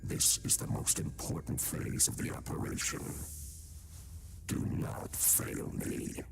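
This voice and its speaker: An actor playing a sinister, commanding villain in a video game, giving orders in an ominous, deliberate way. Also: male voice, slight background noise, lightly acted. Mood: menacing. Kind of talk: video game cutscene acting.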